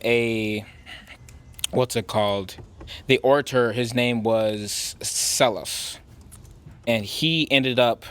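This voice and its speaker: A man's voice: medium pitch, a bit bright. A man in his twenties, a podcast co-host, telling a long story in loose, rambling casual chat with slang. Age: 20s.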